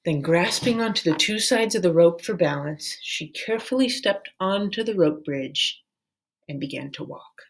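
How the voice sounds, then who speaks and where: A woman reading a children's novel aloud as a storyteller, in a measured, deliberate way. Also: lowish voice, lively intonation, creaky, breathy edge, low-quality recording.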